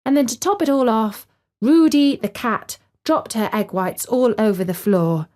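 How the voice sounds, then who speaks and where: A woman's voice: fairly high pitch, measured pace, a little mellow. Woman narrating a children's story.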